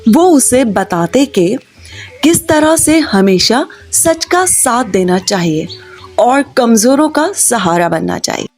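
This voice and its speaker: A woman's voice: a little high, lively intonation, slow, a little mellow. A woman reading a scripted children's moral story as its narrator, with music behind her. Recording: music bed under the voice. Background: background music.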